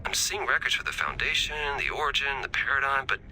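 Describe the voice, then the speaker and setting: Bright, animated, wry male scientist. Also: mid-range voice, fast, low-quality recording. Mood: curious, wry. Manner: sardonic, chatty, animated. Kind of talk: video game voice acting.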